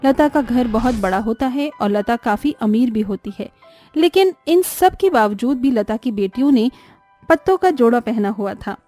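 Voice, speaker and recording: Female narrator for scripted family tales, mellow timbre, raised pitch, quiet music bed. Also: animated, moderate pace.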